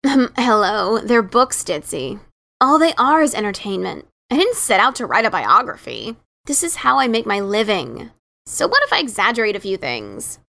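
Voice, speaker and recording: A woman's voice: mid-range voice, wildly swooping pitch, moderate pace, vocal fry, pushed and bright, exaggerated voice. A woman voicing a brash, teasing adventurer pony mare with a scratchy voice in a dramatic reading. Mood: defensive. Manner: cocky, teasing, informal. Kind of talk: dramatic reading of a fan story.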